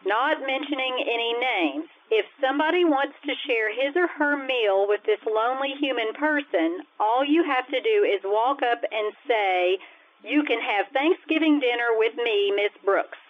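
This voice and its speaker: Lady doing a sardonic english teacher, highish voice, deadpan, old radio sitcom, thin tinny sound. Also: a little slow, lightly acted. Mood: dry, amused. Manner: dry, deadpan asides. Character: wry, sardonic single woman high school English teacher. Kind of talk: old-time radio comedy acting.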